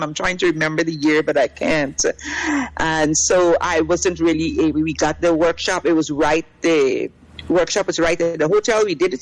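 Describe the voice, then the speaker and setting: A woman's voice: fairly low pitch, low-quality recording. A middle-aged Guyanese woman heading a cultural delegation, answering interview questions in a rambling way full of fillers and restarts.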